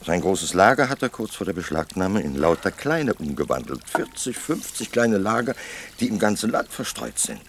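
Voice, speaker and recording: A man's voice: low voice, sing-song, normal speed, a little hiss or hum. A man acting in a radio play as a deferential businessman, polite, insinuating and persuasive. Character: deferential, wheedling Mexican businessman pressing a politician.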